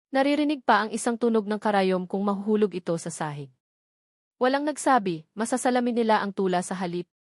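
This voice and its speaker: A woman with a Filipino accent narrates a novel as an audiobook reader. Her voice sits at a middle pitch for a woman. The sound is dry, with very little room to it. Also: darkish tone, no room sound.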